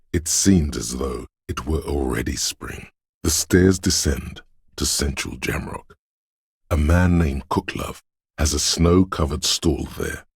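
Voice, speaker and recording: Game narrator, an omniscient, brooding inner voice; bottomless bass register, close dry sound, gravelly, slow and solemn. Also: male voice, no room sound, exaggerated voice. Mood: brooding, watchful. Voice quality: very deep, gravelly husky. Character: brooding, all-seeing inner voice sensing a wintry city.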